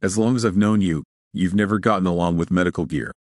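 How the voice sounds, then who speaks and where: A man's voice: low-pitched, fast, a little mellow, no room sound, studio quality, close to an everyday voice. A man voicing a grumbling, skeptical elderly scientist in an audio story. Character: grumbling elderly male scientist, gaunt, fatherly boss.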